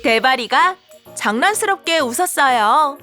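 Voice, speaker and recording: A high-voiced woman reads a children's story with lots of expression. Her pitch rises and falls in a lively way, and she takes her time over each phrase. The telling is animated and clearly scripted for little listeners. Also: measured pace. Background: children's background music.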